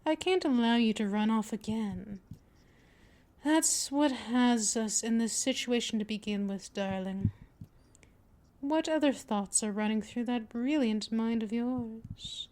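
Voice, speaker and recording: A dominant vampire mistress, acted by a woman, speaks in a fairly high voice at a very slow pace. She is patient and possessive, teasing and coaxing her captive in an intimate ASMR roleplay style. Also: darkish tone, natural voice. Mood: teasing, coy. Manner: slow, deliberate, coaxing, with drawn-out pet names.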